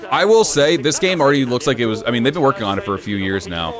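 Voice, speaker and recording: A young man streaming, chatting casually in an even, low-key way with sudden bursts of excitement. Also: fast talker, a crowd in the background. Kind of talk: casual live-stream reaction chat.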